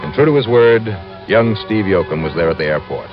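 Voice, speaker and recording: A man acting as a wry, brisk insurance investigator in a 1950s American radio drama, with clipped, punchy delivery. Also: low-pitched, animated. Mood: dry narration. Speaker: lead actor and narrator. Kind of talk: 1950s radio detective acting, first-person narration. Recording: muffled old radio recording with music.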